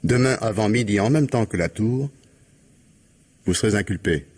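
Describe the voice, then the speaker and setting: An actor playing a stern, implacable police investigator in 1950s French radio mystery style, commanding and deliberate, with a husky voice. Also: male voice, on the low side, darkish tone, natural voice. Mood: stern, menacing. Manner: commanding, deliberate, articulate, dictating orders.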